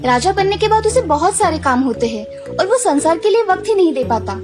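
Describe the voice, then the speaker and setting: A woman voicing a proud, scheming village wife in an animated storybook tale, speaking persuasively. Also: very high voice, brisk, music in the background. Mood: persuading.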